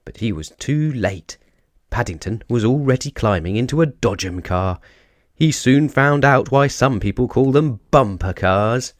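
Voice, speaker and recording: A man narrating a children's storybook as an expressive storyteller. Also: mid-range voice, a little slow, no echo, studio quality.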